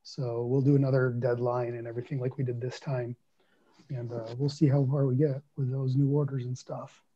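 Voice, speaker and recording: A man's voice: medium pitch, little pitch movement, normal speed, warm tone, a little hiss or hum. A man in his thirties or forties giving an informal update at a board meeting over a video call. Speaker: board member reporting. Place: small room at home on video call. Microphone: computer mic on video call. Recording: muffled video call audio.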